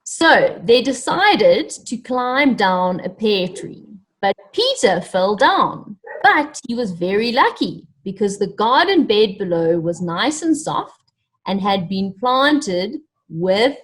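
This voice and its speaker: A woman reading a story aloud to children, animated and encouraging, pausing to ask them questions directly. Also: fairly high pitch. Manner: animated, encouraging, addresses young listeners directly.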